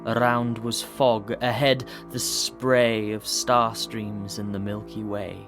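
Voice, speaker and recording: Audio drama: solemn, measured figure, deep-set pitch, slow delivery, prominent music behind. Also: male voice, little pitch movement. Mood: grave, brooding. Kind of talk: audio drama acting, recitation.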